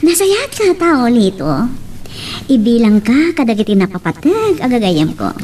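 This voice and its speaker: A woman playing a warm young policewoman and loyal daughter in a radio drama, with an Ilocano Filipino accent. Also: on the high side, very animated, moderate pace, recorded from a distance, slight background noise. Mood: warm, grateful.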